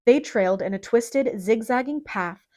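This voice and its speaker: A woman's voice: a little high, expressive, moderate pace, darkish tone, dry recording, clean studio sound. A woman reading a story aloud as a dramatic scripted audiobook narration.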